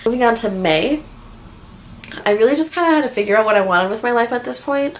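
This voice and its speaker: A twenty-year-old woman chatting casually in a personal vlog, rambling through stories in a candid, slangy way with plenty of swearing. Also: mid-range voice. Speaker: vlogger.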